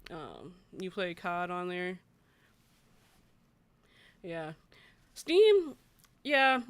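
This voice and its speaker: A woman's voice: fairly low pitch, sing-song, very slow, clear, resonant tone. A woman hosting a gaming livestream, chatting informally with viewers and reading news aloud in a conversational way. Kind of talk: casual livestream chat, reading articles aloud and reacting.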